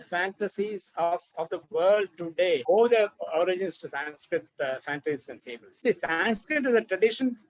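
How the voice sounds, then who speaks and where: A man's voice: high voice, low-quality recording. A man giving an informal, explanatory talk for children as an expert, often repeating and rephrasing his points. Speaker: expert author and researcher.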